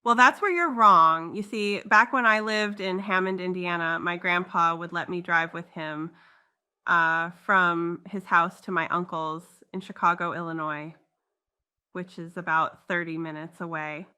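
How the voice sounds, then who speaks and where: A woman's voice: on the low side, a little slow, bright, forceful tone, clear, resonant tone. A woman voicing a cocky, reckless young girl in a comic dub, breezy and argumentative.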